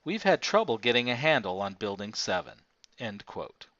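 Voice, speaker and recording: A man reading a nonfiction book aloud as scripted narration, in a measured, formal manner. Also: medium pitch, big pitch swings, sharp tone, no echo. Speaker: audiobook reader. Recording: dull, lo-fi, dry close recording.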